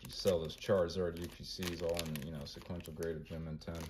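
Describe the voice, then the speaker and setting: A man with a monotone voice casually talking through his card collection, mumbling names as he flips through binders. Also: low voice, fairly quick, background noise. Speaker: collector vlogger.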